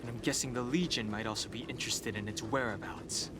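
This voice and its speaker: A man's voice: medium pitch, quick pace, lightly acted. A man voicing a serious, urgent young warrior companion in a video game, terse and businesslike. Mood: urgent, stern. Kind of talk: acted video game dialogue. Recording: clear background noise.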